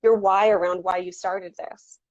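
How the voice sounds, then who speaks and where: A woman's voice: lowish voice, level tone, measured pace, dry recording, highs cut off. A woman hosting a casual livestreamed interview, chatty and upbeat with frequent fillers and restarts. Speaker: interview host.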